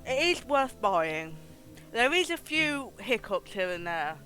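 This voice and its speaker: Excitable blunt streamer lady, swoopy lively pitch, casual commentary, quiet music behind. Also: takes it slowly, rough recording.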